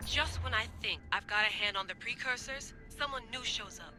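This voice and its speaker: A woman playing a confident, determined young assassin researcher in a game cutscene, brisk and casual. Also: fairly high pitch, bright, forceful tone, faint music behind. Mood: wry, musing. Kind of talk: video game cutscene acting.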